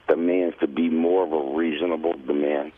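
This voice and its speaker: An American man with a gravelly, husky voice, chatting casually on talk radio. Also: rumbling low, little pitch movement, normal speed. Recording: slightly dull, lo-fi radio sound.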